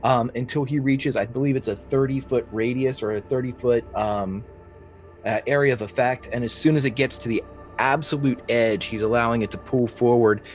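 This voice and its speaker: A man's voice: medium pitch, steady tone, moderate pace, darkish tone. A man plays a tabletop roleplaying game with music under him. He talks casually and playfully, describing what his character does in colloquial language.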